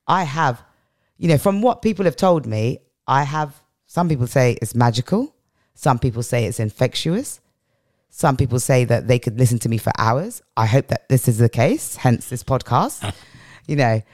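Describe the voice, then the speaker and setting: A woman's voice: rumbling low, lively intonation, normal speed, darkish tone, no room sound, clean studio sound. A middle-aged British woman with a strong voice, telling personal stories as a podcast guest and repeating phrases for weight. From England. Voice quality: strong, powerful voice. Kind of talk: reflective personal storytelling in an interview.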